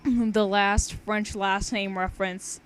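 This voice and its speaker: A woman's voice: mid-range voice, steady tone, takes it slowly. A young woman reading a story aloud casually with banter, informal and giggly, often stumbling over her words. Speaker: amateur reader of own fan fiction. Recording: noisy homemade amateur recording.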